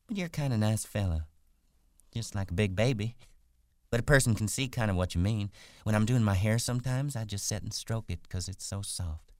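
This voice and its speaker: Man putting on a vain, high-pitched young woman. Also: a little fast, dry recording, studio quality, natural voice. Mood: amused, friendly. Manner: casual, chatty rustic talk.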